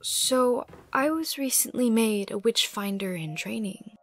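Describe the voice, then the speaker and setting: A woman voicing an anxious, cunning young girl in an animated drama, earnest and guarded, sometimes breathy. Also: on the high side, unhurried, exaggerated voice. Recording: music under the dialogue.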